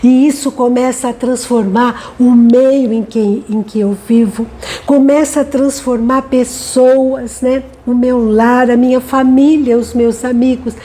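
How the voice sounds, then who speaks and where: A middle-aged woman from Brazil giving a gentle devotional talk, unhurried and often adding tag questions. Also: on the high side, fairly even intonation. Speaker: devotional speaker.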